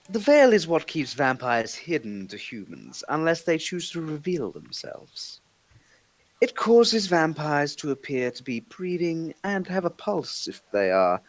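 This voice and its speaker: A man's voice: a little high, no room sound, pitched above the performer's own voice. A man reading a composed vampire elder at a table read, explaining in a formal manner. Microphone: online voice call.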